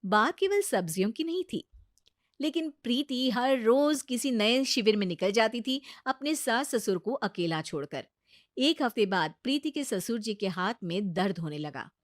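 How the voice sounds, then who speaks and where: A woman narrates a scripted story. Her voice is high and moves up and down in an animated way. The recording is very dry, with no room sound. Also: moderate pace, no room sound.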